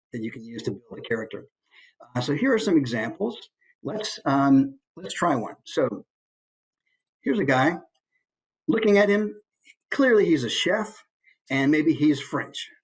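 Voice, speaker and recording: A man's voice: medium pitch, animated, unhurried, pauses often, low-quality recording. An American man, a voice actor, giving an informal instructional talk and musing aloud through examples.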